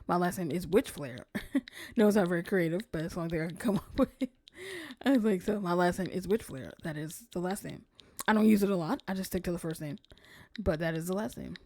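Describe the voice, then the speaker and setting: Soft-spoken woman streamer, lowish voice. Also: fairly quick, no echo. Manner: chatty, soft-spoken, often drops to near whisper. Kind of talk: casual gameplay commentary. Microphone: desk streaming mic.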